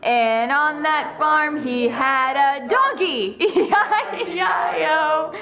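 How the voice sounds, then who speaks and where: High-voiced woman singing for children. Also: lively intonation, slow, crowd noise. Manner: playful, imitating animal noises. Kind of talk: playful children's singalong. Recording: music behind the voice. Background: music.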